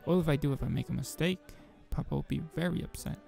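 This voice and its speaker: A man's voice: on the high side, fast, creaky, darkish tone, soft background music. A man voicing a nervous, earnest young girl pianist in a visual novel, hesitant and shy.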